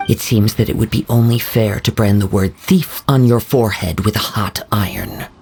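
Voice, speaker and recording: An actor playing a calm, reflective young samurai in a game, measured and soft-spoken. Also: male voice, on the low side, a little hiss or hum, close to an everyday voice. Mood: stern. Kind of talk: game voice acting. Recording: game audio over music.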